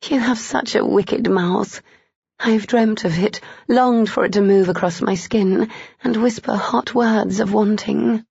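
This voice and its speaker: An eager young woman, inexperienced yet insistent, pleads in a raised, breathy voice, as a woman narrator puts her on. A husky grain runs beneath it. The recording is dry and dull. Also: medium pitch, unhurried, a little mellow, no room sound, pitched above the performer's own voice. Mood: pleading, eager.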